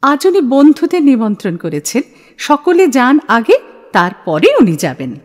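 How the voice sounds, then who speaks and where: A woman's voice: a little high, big pitch swings, unhurried. A woman playing a bold, defiant young daughter in an audio play, pert and insistent. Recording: long hall-like reverb.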